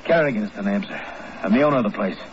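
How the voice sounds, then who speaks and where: A muffled, slightly hissy 1950s radio recording features a man acting a crooked innkeeper. He talks quickly in clipped, blustery bursts, like a double-dealer protesting his innocence. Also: on the low side, lively intonation, natural voice. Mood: indignant.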